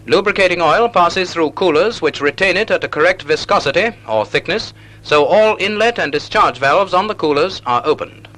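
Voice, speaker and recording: A British man giving scripted narration for a vintage naval instructional film, with clear, measured, didactic phrasing. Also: high-pitched, pushed and bright. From United Kingdom.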